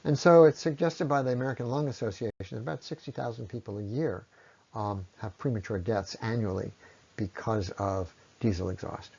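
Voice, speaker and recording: A man giving an explanatory science lecture in a measured way, pausing often and letting his phrases trail off at the ends. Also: lowish voice, vocal fry, darkish tone, slightly rough. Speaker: presenter on ecology. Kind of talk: explanatory lecture on environmental impact. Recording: dull, lo-fi voice recording.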